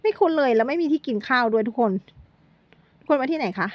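A woman's voice: high-pitched, fast talker, no room sound. A middle-aged woman narrating a day trip in a chatty vlog, talking straight to her viewers and joking as she corrects herself. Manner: chatty, addresses viewers constantly, playful self-corrections. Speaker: vlogger.

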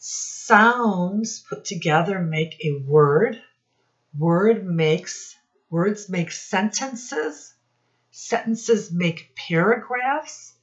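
Middle aged lady teacher talking to little kids, low voice, kinda dull webcam sound. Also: animated. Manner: deliberate, instructive, enunciating for small children. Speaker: early-childhood teacher. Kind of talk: read-aloud lesson addressed to young children. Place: small indoor room with posters.

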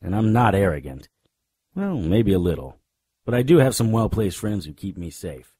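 A man playing a cocky, world-weary paranormal investigator, narrating a noir radio drama in a wry, deadpan way. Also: low-pitched, moderate pace, slightly rough, dry recording, studio quality, full character voice. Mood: wry, cool.

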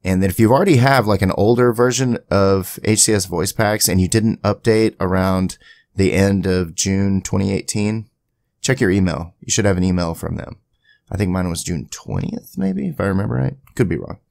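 A man giving a casual software tutorial in a conversational way, with chatty asides, as the creator of the video. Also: low-pitched, lively intonation, normal speed, gravelly. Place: indoors at a home PC, voice-over to screen capture.

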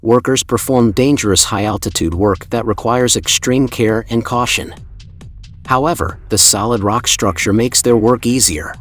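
A man reading a scripted documentary voice-over in a formal, admiring, evenly paced way. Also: medium pitch. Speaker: narrator. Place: voice-over, not the pictured building site. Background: soft background music.

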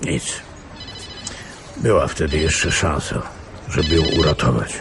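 An actor playing a world-weary, sardonic investigator in a radio play, wry and offhand, with small grunts. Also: male voice, rumbling low, a little slow, vocal fry, noisy background, full character voice. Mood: grim, reflective. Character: sardonic, world-weary male veteran investigator advising younger detectives.

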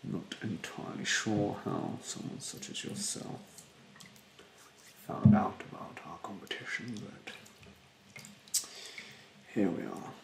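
Man acting a slow, hushed, snobbish spa therapist. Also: fairly low pitch, gravelly, echoey room, noisy background. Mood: disdainful, condescending. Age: young adult. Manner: haughty, condescending, halting delivery. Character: rude, snobbish male facial spa therapist. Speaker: ASMR performer. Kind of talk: soft-spoken ASMR roleplay, often whispered. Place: small bedroom, indoors. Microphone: close to the microphone.

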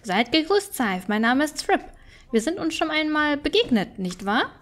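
A small fox-like sage from a fantasy game, read aloud by a woman, talks in a slightly high voice. The creature is courteous and inquisitive, with formal phrasing. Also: sing-song, normal speed.

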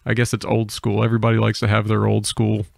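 A man's voice: lowish voice, completely flat delivery, quick pace, vocal fry, dry recording. An American man chatting casually as a podcast host into a close studio microphone. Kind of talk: casual chat.

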